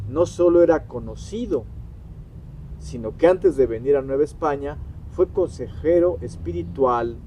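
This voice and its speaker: A man delivering scripted critical commentary like a lecture, deliberately and emphatically, pausing between short phrases. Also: on the high side, dark tone, husky. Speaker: historian-critic presenting a critique.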